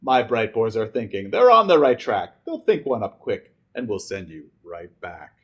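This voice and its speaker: A man's voice: lowish voice, sing-song, moderate pace, breathy edge, highs cut off. A man voicing a smug, reassuring storybook chief with a confident, patronizing manner.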